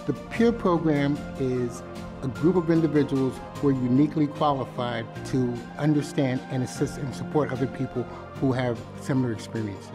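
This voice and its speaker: Older man speaking over music. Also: medium pitch. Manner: thoughtful, measured storytelling. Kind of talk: documentary interview. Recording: interview with music bed.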